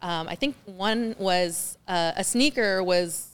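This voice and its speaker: Woman podcast host, loud, upbeat, very clear voice, casual interview chat. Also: on the low side, slow. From Los Angeles. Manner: loud, upbeat, laughs often.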